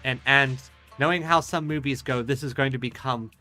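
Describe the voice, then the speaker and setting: A man's voice: medium pitch, normal speed, sharp tone, faint music behind. A man giving casual commentary as he plays through a game, talking off the cuff. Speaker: streamer playing and commenting on a game.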